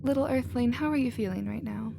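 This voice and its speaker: A woman playing a gentle, emotional alien scientist in a scripted ASMR roleplay, earnest and expressive in manner. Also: a little high, brisk, gravelly, noisy background. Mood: tender, concerned. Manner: soft-hearted, earnest, expressive.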